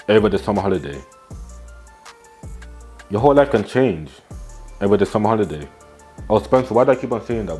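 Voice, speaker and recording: A nineteen-year-old man speaks in a fairly low voice, giving a motivational talk to camera. He is blunt and slangy and drives his points home by repeating them. He is recorded on a small clip-on mic in a small room at home, with faint music underneath. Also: mellow. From England. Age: teens. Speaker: fitness and self-improvement vlogger.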